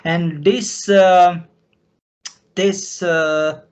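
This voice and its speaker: Slow, halting Slovak-accented man. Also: sits high, steady tone. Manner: halting, repetitive, broken phrasing. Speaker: recurring guest on a question-and-answer show. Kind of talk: unscripted, confessional talk.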